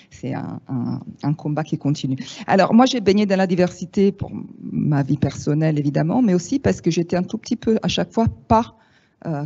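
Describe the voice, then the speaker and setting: A woman in her fifties from Italy, speaking French with an Italian accent, giving a lively, frank talk to an audience with wry asides. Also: low voice, breathy edge. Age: 50s. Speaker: speaker at a panel. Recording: dull lo-fi sound.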